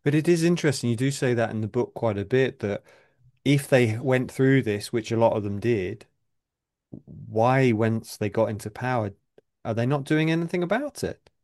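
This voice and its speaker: A man hosting a podcast conversation, speaking in a measured way and murmuring agreement often. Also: mid-range voice, darkish tone.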